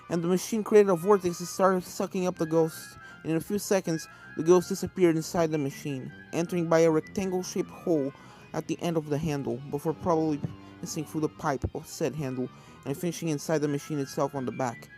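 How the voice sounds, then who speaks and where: A woman's voice: on the low side, a little fast, warm tone. A woman reading a story aloud as scripted narration, with music playing behind her. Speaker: fan fiction reader.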